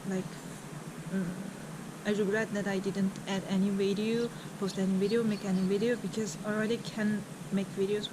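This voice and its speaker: Chatty young Mongolian-accented woman. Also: mid-range voice. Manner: chatty, halting, laughs often. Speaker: vlogger. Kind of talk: casual vlog chat. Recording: loud background noise.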